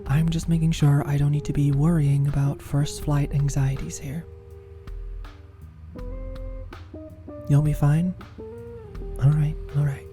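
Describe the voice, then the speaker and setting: An actor playing a teasing, caring British office manager in an intimate ASMR roleplay, speaking softly and breathily with a dry manner. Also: medium pitch, level tone, moderate pace, over music, close to an everyday voice. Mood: casual, dryly teasing. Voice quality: soft, breathy, often near-whispered. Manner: dry, gently teasing, solicitous.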